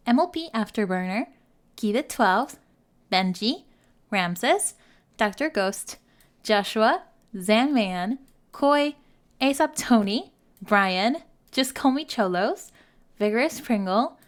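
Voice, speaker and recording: A woman hosting an ASMR channel, speaking out plainly in an outro with thanks and shout-outs. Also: on the high side, lively intonation, slow pace, pauses often.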